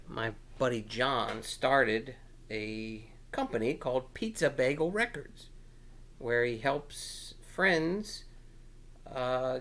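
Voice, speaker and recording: A man hosting a storytime, chatting casually and playfully with young children in an encouraging, halting, rambling way. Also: on the high side, vocal fry, a bit bright. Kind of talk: casual read-aloud chat to young children.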